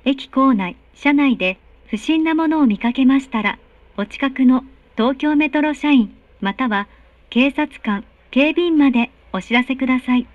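High-pitched woman making polite train announcements. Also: animated, unhurried, dry recording, phone-quality sound. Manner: formal, polite, carefully enunciated. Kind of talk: recorded train station announcements. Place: recorded announcement played inside subway car.

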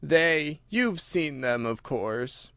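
Man acting a slow, mournful storybook turtle creature. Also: fairly high pitch, animated, vocal fry, a bit bright, clean tone, dry recording, clean studio sound, full character voice. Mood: ponderous, drowsy. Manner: slow, drawn-out, solemn speech. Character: mournful, drowsy, self-important old Mock Turtle creature. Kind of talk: storybook character voice.

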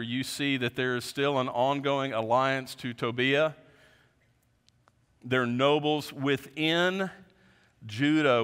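A pastor in his fifties preaches from a lectern on a big church stage. He is earnest and often lets his voice sink low and quiet to close a point. His ordinary, middle-range voice carries a long reverb from the large sanctuary. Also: clean tone. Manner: earnest, gestures widely, voice drops low at ends of points. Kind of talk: sermon.

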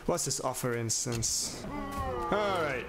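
A man's voice: mid-range voice, expressive, takes it slowly, over music. A young man giving casual, slangy gameplay commentary, muttering reactions and exclaiming now and then. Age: young adult. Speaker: gaming video host. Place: small indoor room at home.

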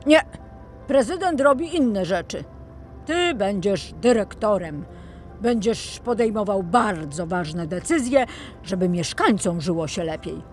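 A woman's voice: mid-range voice, expressive, slow, darkish tone, faint music behind. A woman voicing an earnest, friendly talking toy fire truck in a children's audio tale. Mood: earnest, encouraging.

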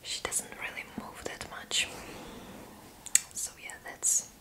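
Woman in her twenties, soft breathy whisper, intimate ASMR style, close microphone. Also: slow. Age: 20s. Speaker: ASMR video creator playing a roommate. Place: small indoor room.

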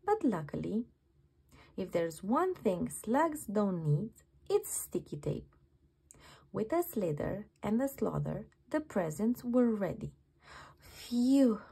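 A woman reads a children's bedtime story with very lively, swooping intonation. She takes her time over the words and has a slightly breathy, husky edge. The delivery is dramatic and expressive. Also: mid-range voice, slow pace. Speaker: children's book reader.

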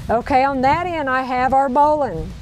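Woman, slightly high voice, leading an outdoor knot demo; cheerful, repeats steps, faint park ambience. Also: moderate pace, a little hiss or hum. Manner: upbeat, repeats each step, checks in with "okay?". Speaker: knot-tying instructor. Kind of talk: hands-on outdoor demonstration.

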